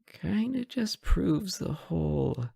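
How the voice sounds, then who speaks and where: A man's voice: a little high, sing-song, slow pace, gravelly, dark tone, slightly rough, no echo, studio quality, full character voice. A man acting a sarcastic, teasing hostage who taunts a supervillain in a conversational, theatrical roleplay audio drama. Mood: hesitant, puzzled.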